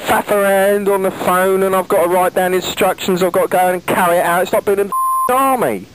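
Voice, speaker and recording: A man's voice: on the high side, expressive, a little fast, a little hiss or hum, natural voice, low-quality recording. Man playing a thick, aggrieved British chav caller. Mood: exasperated. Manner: bluntly obtuse, colloquial, swearing. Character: put-on slow-witted, aggrieved British chav father, techno-illiterate. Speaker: prank caller. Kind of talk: prank phone call.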